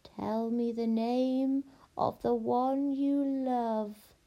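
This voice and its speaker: A woman voicing a cruel, miserly old storybook witch who draws out her words with cold, deliberate disdain. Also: a little high, fairly even intonation, lo-fi sound. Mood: menacing.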